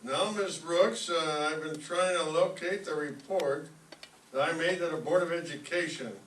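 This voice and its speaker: Man playing a bossy principal, bright echoey sound. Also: on the high side, moderate pace, close to an everyday voice. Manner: officious, curt, exasperated. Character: bossy, blustering male school principal, easily flustered. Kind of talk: old-time radio comedy acting. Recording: echoey room, distant microphone, faint noise.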